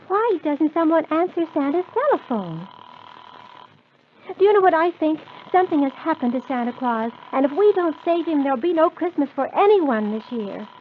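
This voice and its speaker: Decisive young christmas heroine, high voice, old radio serial, very tinny. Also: female voice, expressive, moderate pace, slight background noise. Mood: worried, urgent. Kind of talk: old-time children's radio serial acting.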